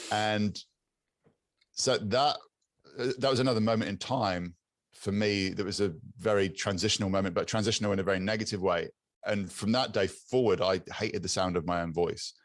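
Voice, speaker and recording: A British man with a low, raspy but clear voice, chatting as a podcast guest over a video call and often repeating words as he searches for them. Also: sing-song, moderate pace, pauses often, no echo, studio quality. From England. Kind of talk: casual interview chat.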